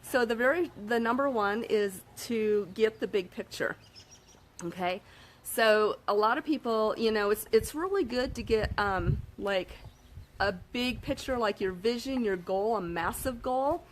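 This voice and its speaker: A woman with a slightly hoarse voice, giving a casual motivational talk to camera and checking in with her listeners often. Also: on the high side, measured pace, slight background noise. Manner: upbeat, frequent "okay?" check-ins and fillers. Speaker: self-help vlogger.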